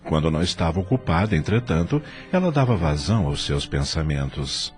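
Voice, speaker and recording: A man's voice: extremely low pitch, sing-song, fairly quick, darkish tone, soft background music. A man with a Brazilian Portuguese accent giving solemn, scripted storytelling narration for an audio drama.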